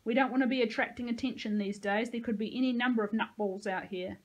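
A woman's voice: a little high, steady tone, fast talker, lo-fi sound, natural voice. A woman voicing a small, irritable old man who scolds and grumbles in an audiobook. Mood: irritated, grudging. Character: tiny, grumpy, cursing old man, gruff but helpful. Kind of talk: audiobook character dialogue.

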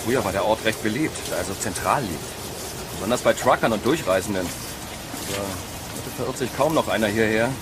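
A man's voice: lowish voice, fairly quick, noisy background, natural voice. An actor playing an easygoing young male traveller in a horror audio drama, breezy and reassuring. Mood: upbeat, explaining. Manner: breezy, casual, expressive.

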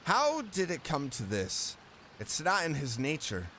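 A man's voice: on the high side, unhurried, slight background noise. A man giving casual, reactive commentary as he plays through a video game. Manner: expressive, reactive. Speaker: gaming video host, player. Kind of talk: casual let's play commentary, reading along and reacting.

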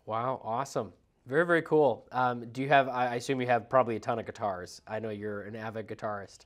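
A man's voice: mid-range voice, fast talker, a little hiss or hum. A man in his thirties hosting a podcast, chatting casually with lots of fillers, a bit congested from a cold, recorded on a lapel mic in a small studio. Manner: chatty, filler-heavy, earnest. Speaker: podcast host. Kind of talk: casual interview chat. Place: small studio lounge set.

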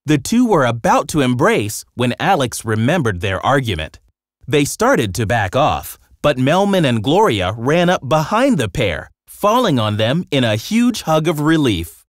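An expressive narrator reading a children's storybook, with very lively intonation and a medium pitch. The delivery is scripted and dramatic, recorded dry and close. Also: measured pace, dry recording.

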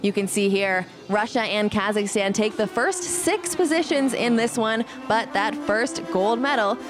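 Female sports commentator, recap voice-over, mid-pitched, with prominent background music. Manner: brisk play-by-play narration.

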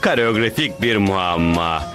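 A man's voice: deep voice, animated, unhurried, over music. An actor voicing a big, upbeat, dim male superhero in a cartoon dub, with grandiose, theatrical delivery. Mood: blissful, grand. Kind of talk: animated cartoon dub acting.

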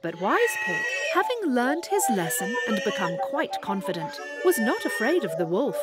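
A woman narrating a children's storybook tale in a slow, expressive way. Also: a little high. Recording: children's story with loud music.

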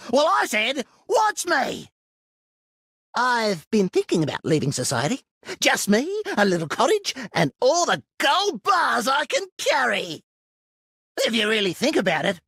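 An actor playing a manic young male demolitions maniac with an Australian accent and a high, shrill, cackling voice, giddy and scatterbrained, in a video game. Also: bright, forceful tone, no room sound, full character voice. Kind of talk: video game character voice lines. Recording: dry studio recording.

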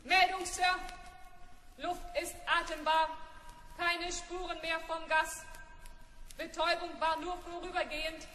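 A vain robot for a radio play, grumbling smugly in a high, bright, processed voice. It sounds scornful and pleased with itself, inside an echoing space. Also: level tone, measured pace, long pauses, exaggerated voice. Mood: self-satisfied. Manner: smug, griping, self-satisfied. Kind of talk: 1970s German children's radio play acting. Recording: echoing hall-like reverb.

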